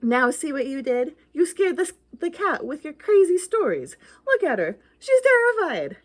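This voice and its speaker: A woman acts out a little storybook ghost who bluffs about being brave but scares easily. The voice sits high and swings widely, with theatrical exclamations and anxious questions. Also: sing-song, normal speed. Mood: indignant, pleading. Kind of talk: children's picture book read-aloud.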